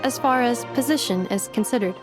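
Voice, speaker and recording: A methodical female robot from a video game, played by a woman, with a high voice. The wording is precise and formal, the temper polite and composed, with music clearly behind. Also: a little fast, close to an everyday voice. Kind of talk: video game character dialogue.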